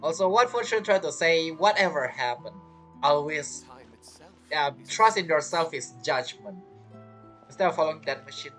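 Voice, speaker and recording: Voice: medium pitch, animated, normal speed, fairly bright tone. A game streamer giving casual playthrough commentary in choppy, non-native phrasing. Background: game music.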